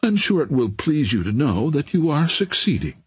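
A man's voice: medium pitch, huge pitch swings, moderate pace, a little mellow, breathy edge, no echo, clean studio sound, pitched above the performer's own voice, exaggerated voice. A man voicing a petulant, easily alarmed young society woman in an audiobook. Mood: sweetly sarcastic. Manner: sweetly sarcastic, pleading, exclaiming.

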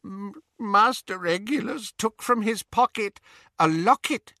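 A man's voice: high voice, unhurried, bright, forceful tone, no room sound, clean studio sound, pitched above the performer's own voice, exaggerated voice. A man voicing an elderly male house-elf, servile and grief-stricken, crying and stammering through a wail. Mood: sobbing, pleading. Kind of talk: audiobook character voice.

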